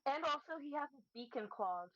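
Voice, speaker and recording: Female tabletop player, highish voice, casual talk, dry and dull recording. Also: expressive, normal speed, dry recording. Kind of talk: casual chat, describing her character.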